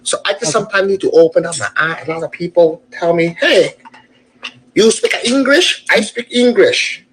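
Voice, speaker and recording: Boastful higher-pitched man in an echoey clip. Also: animated, moderate pace, a bit bright, slight background noise.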